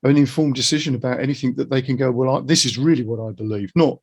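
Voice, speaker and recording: Husky, gravelly middle-aged man, reflective. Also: mid-range voice, quick pace. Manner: reflective, earnest, opinionated. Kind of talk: casual conversational interview.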